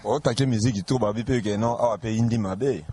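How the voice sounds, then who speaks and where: A man's voice: fairly low pitch, fast, warm tone, a little hiss or hum. A man with a Congolese accent chatting casually as an interviewer.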